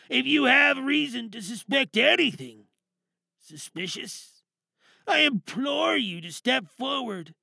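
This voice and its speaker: A man's voice: very high-pitched, creaky, sharp tone, dry recording, studio quality, exaggerated voice. A man playing a grandiose, paranoid villain leader who addresses his followers in theatrical, menacing oratory for an audio drama monologue. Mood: suspicious, probing.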